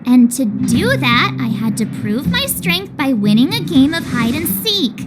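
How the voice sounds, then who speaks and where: A woman's voice: high voice, very animated, unhurried. An actress playing a perky, playful and competitive teenage girl in an anime-style game cutscene, exclamatory and playfully indignant. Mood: pleading, playful.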